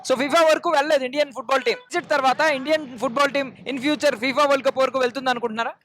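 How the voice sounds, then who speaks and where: Fast-talking reporter in a crowd. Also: male voice, extremely high pitch, fairly even intonation, fairly bright tone. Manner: rapid mix of Telugu and English questions. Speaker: street reporter. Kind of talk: vox pop interviews. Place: outdoors at a stadium crowd. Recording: busy crowd behind. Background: crowd noise.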